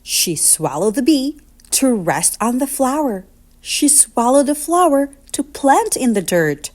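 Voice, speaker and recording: A woman reading a children's picture book aloud in an expressive, deliberate, sing-song storytelling manner. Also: high voice.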